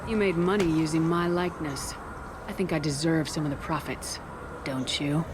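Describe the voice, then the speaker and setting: An actress playing a direct, controlled military commander in a sci-fi video game, with a probing, firm manner. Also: female voice, low-pitched, fairly even intonation, moderate pace, close to an everyday voice. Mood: assertive. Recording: clear background noise, vehicle ambience.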